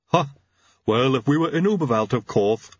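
A man voicing a gruff, blunt, loyal servant from a far country who grumbles in a growl, in an audiobook. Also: medium pitch, lively intonation, moderate pace, no echo, muffled recording, pitched above the performer's own voice. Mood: sceptical.

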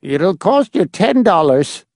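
Brief: man playing a timid, cranky old man, thin whining quaver, pitched high, gravelly and dry. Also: sing-song, takes it slowly, dry recording, full character voice. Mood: grudging. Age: older. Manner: fretful, snappish. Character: frail tiny old male caretaker, suspicious and cranky.

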